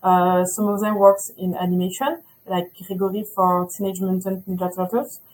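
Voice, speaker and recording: Brief: woman, hesitant school rep, mid-pitched, filler-heavy webinar English. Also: little pitch movement, normal speed, a little mellow, poor audio. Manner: hesitant, many fillers, non-native English. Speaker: school representative. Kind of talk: webinar presentation.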